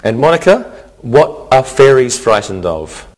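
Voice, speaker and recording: A man teaching an English grammar lesson in a scripted, deliberate, instructional way, enunciating carefully. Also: medium pitch, sing-song, low-quality recording.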